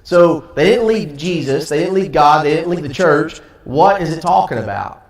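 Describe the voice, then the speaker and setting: A man's voice: on the high side, animated, moderate pace. A man with a Southern American accent teaching a Sunday Bible class in a conversational way, acting out quotes as he goes. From Tennessee. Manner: conversational, acts out quotes, light laughs. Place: church auditorium. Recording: echoey room, from far off.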